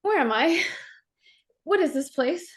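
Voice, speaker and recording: A woman acting a dazed, fragile young woman in a staged play reading, halting and repeating herself nervously. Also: high-pitched, expressive. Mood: dazed, uneasy. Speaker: actor.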